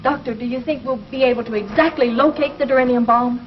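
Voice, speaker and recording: An actress playing a bright, curious young scientist from the future in 1950s television science fiction, inquisitive and earnest. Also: female voice, a little high, fast, natural voice. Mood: alert, curious. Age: young adult. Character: bright young female space-age scientist, curious and spirited. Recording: noisy, dull 1950s television soundtrack.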